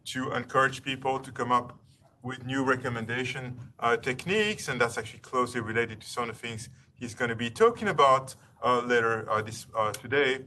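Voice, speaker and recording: A man hosting a university seminar, introducing a guest speaker formally and courteously in a halting, wordy way. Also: medium pitch, pushed and bright. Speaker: seminar host. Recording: distant mic, faint room noise.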